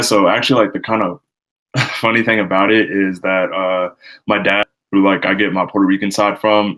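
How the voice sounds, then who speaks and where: A young man with a very low voice and a bright, forceful tone. He talks loosely and at length, filling pauses with "you know" and "um". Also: rough recording. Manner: relaxed, rambling with many fillers. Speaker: student guest. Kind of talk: casual conversation.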